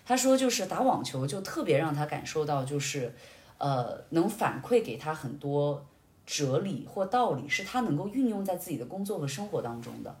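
A woman's voice: low-pitched, sing-song, normal speed, darkish tone. A woman talking expansively and reflectively as an interview guest, illustrating her points with anecdotes. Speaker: podcast guest. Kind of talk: reflective conversational interview talk. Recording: roomy, echoey sound.

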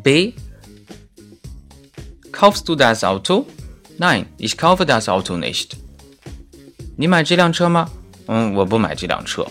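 A male native Chinese speaker gives a grammar lesson with highly varied intonation. He is didactic yet conversational, slipping in casual asides and stating examples carefully. Soft music sits faintly behind him. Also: big pitch swings, slow, pauses often. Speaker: German grammar teacher.

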